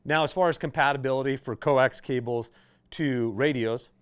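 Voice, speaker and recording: American male, mid-pitched, instructional presenter, conversational, explanatory, informal product walkthrough. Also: moderate pace, clear, resonant tone. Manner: conversational, explanatory, checking in with "right?". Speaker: product expert and presenter for a radio shop.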